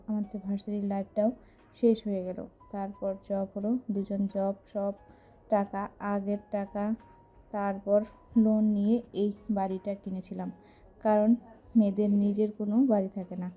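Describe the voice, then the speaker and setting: A loyal, supportive young woman, played by an actress, confides plainly in a soft-toned voice with little pitch movement. It is an audio drama over music. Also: flat delivery, moderate pace, natural voice. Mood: confiding.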